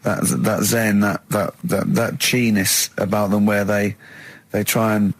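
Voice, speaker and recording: A man's voice: fairly low pitch, flat delivery, slow pace, no room sound. A man hosting a radio show in casual comic banter, chipping in with remarks and questions.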